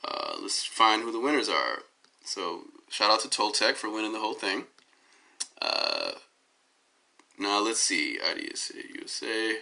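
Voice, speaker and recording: A hoarse man with a cold, rambling through a self-recorded phone commentary with lots of fillers and restarts while he clicks through web pages. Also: on the low side, sing-song, unhurried, pushed and bright. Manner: tangential, many fillers and restarts. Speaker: DJ giving his side of a dispute. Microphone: phone held up at arm's length.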